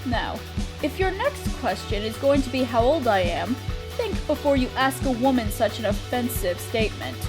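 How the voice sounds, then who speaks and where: A woman's voice: fairly high pitch, sing-song, normal speed, music in the background. A woman playing a kindly, playful witch in a scripted roleplay audio drama, speaking in a theatrical, coy way with old-fashioned endearments. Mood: teasing, amused.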